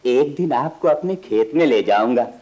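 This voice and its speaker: An actor playing a humble, devout village farmer in a radio play, speaking deferentially and with simple pride. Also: male voice, mid-range voice, animated, normal speed, warm tone, natural voice. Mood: proud, tender. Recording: dull archival radio recording.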